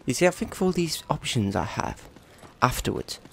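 A man's voice: medium pitch, big pitch swings, normal speed, gravelly, breathy edge. A young man with a cold, chatting casually and swearing now and then while commentating his own gameplay. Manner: rambling, chatty, swears at opponents. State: congested with a cold. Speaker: gaming YouTuber.

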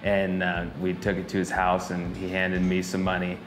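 A young man in his twenties, a welding shop owner, talking in a relaxed, easygoing documentary interview. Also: deep voice, moderate pace. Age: 20s. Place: indoor fabrication shop office. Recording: roomy shop echo, music under.

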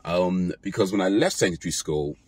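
Low husky British man, relaxed talk. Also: brisk, no room sound. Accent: British English. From Britain. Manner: relaxed, rambling, with fillers and self-corrections. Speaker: vlogger. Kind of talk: casual talk to camera. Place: parked car, indoors. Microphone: phone camera held close.

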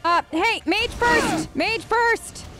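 A woman hosting a casual role-play game video, expressive and chatty as she talks to the characters. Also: very high-pitched, takes it slowly, loud music behind the voice. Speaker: gaming video host. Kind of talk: in-character playthrough commentary, casual.

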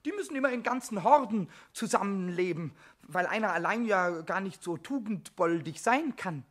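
Cheeky little kobold for a kids radio play, high n scratchy, super swoopy. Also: male voice, lively intonation, normal speed, fairly bright tone. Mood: explaining. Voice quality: squawky, raspy. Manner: exclaiming, boastful, stretches words. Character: small cheeky boastful male kobold, excitable and rhyming. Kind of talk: German children's radio play acting.

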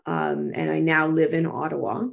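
A woman's voice: low-pitched, moderate pace, gravelly. A middle-aged Canadian woman from Toronto, sharing personal reflections on a video call from a room at home. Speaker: guest speaker. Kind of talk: reflective personal talk in an online panel. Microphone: video call microphone.

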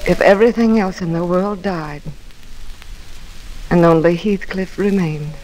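A woman's voice: fairly low pitch, steady tone, a little slow, a little mellow, natural voice. An actress playing a proud, passionate, torn young woman of the moors in a theatrical 1940s radio drama style. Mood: anguished, yearning. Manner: theatrical, impassioned. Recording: noisy old radio recording.

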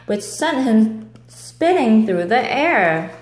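A woman reads a children's story aloud, slowly and expressively. Her pitch moves widely, and the room adds a noticeable echo. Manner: deliberate, animated. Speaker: storybook reader. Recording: roomy indoor echo.

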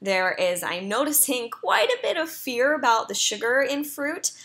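A woman's voice: high-pitched, big pitch swings, normal speed, fairly bright tone. A young woman, a personal trainer, chatting casually in a voice-over as she walks through her online grocery order, with an upbeat manner and frequent ums and uhs.

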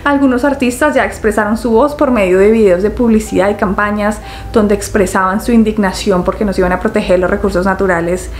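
A young Colombian woman with a Santander accent, hosting a casual reaction video from her living room and talking in a chatty, animated way with frequent laughs. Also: mid-range voice, fairly quick, few pauses, a little hiss or hum. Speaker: YouTube reactor. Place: living room, indoors, on a sofa.

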